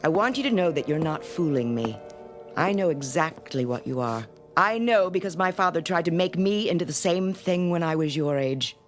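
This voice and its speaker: An actress playing a stern, domineering schoolteacher with a commanding, insistent manner in a radio drama. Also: female voice, deep voice, lively intonation, moderate pace. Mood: cold, accusing. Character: stern, domineering female schoolteacher, coldly obsessive. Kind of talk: vintage-style dramatic radio acting. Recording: music under the voice.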